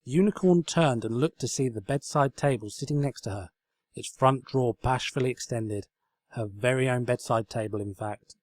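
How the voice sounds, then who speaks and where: A man's voice: medium pitch, normal speed, darkish tone, no room sound. A man reading scripted comic fan fiction as the narrator. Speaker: story reader.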